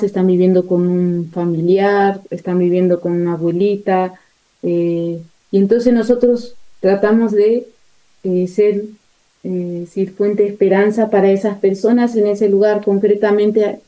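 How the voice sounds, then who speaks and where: A woman missionary describes her work as an interview guest. Her voice is extremely soft-toned and mellow, with flat, even intonation at a middling pitch. She often hesitates while searching for words. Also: poor audio. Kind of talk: spontaneous interview account.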